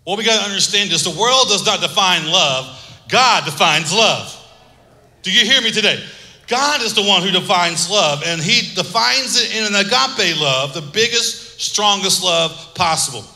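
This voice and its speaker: Bright-voiced preaching man in a hall. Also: sits high, animated, normal speed. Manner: preaching with call-and-response and humor. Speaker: pastor. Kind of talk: sermon.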